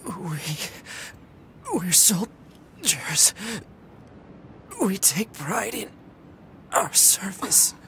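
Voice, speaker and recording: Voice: expressive, drawn-out words, pauses often, exaggerated voice. An actor playing an earnest, loyal young male android soldier with a boyish voice in a dramatic game. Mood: weak, pained. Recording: loud rumble and engine noise behind.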